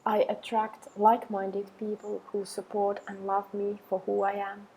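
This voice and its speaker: A woman leading a guided affirmation meditation, speaking in slow, measured phrases with long pauses. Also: mid-range voice, monotone, mellow, a little hiss or hum, rough recording.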